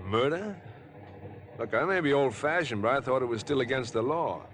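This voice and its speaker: A man's voice: medium pitch, lively intonation, normal speed, fairly bright tone, natural voice. An actor in a 1950s radio drama playing a strained, upright young man, earnest and clipped. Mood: guarded. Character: guarded, strained, upright young tennis player. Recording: vintage 1950s radio broadcast.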